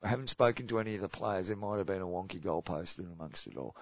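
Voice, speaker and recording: A man co-hosting a hockey podcast, running through match results in casual chat with a slightly croaky voice. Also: low voice, dry recording, dull audio. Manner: brisk results rundown, trailing off quietly. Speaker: podcast co-host.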